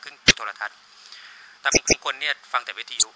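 A man in his thirties explaining his work as a producer in an informal interview, on a handheld mic in a small office. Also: brisk. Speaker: radio drama producer being interviewed. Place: small studio office, indoors. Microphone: handheld reporter microphone. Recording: noisy room, handheld interview microphone.

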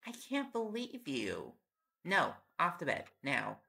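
Casting: man to play young reporter boyfriend, tired and teasing, heavy vocal fry, intimate audio drama, noticeably noisy recording. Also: on the high side, wildly swooping pitch, takes it slowly, full character voice. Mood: teasing, mock indignant. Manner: playful, coaxing, rambling when on a topic. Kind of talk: intimate audio roleplay, sleep-aid acting.

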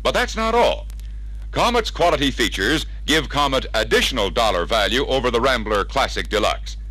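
Bright, animated 1960s American sales narrator, male. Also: medium pitch, normal speed, rough recording. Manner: emphatic, polished salesman delivery. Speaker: narrator of a car salesman training film. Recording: vintage 1961 training film record.

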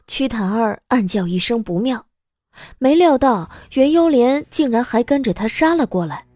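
A woman giving expressive scripted narration as an audiobook narrator. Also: a little high, normal speed, dry recording, studio quality.

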